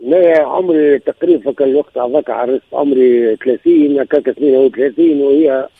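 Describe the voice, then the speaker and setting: A man's voice: medium pitch, quick pace, slightly rough, no room sound, narrow, tinny audio. A man in his sixties with a Tunisian accent, calling in and telling of trouble in his marriage, emphatic and repeating himself. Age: 60s. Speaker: caller. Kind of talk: recounting a personal marital problem.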